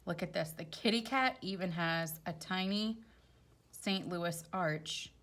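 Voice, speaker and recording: A woman's voice: lowish voice, unhurried, fairly bright tone. A woman teacher reading a children's storybook aloud expressively, with chatty explanatory asides for young listeners.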